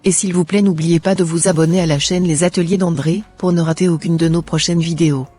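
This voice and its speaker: Mellow husky woman reading scripture. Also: lowish voice, steady tone, soft background music. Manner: measured, devout.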